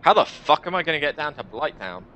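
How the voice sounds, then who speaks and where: Animated man reading out a game. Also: on the high side, fast. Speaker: gaming video host. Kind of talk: dramatic reading of visual novel narration with casual commentary.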